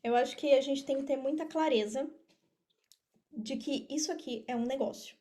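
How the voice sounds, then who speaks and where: A woman's voice: high-pitched, moderate pace, warm tone. A woman with a Brazilian Portuguese accent talking casually as an interview guest, explaining things and repeating phrases for emphasis. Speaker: podcast guest, affiliate marketer.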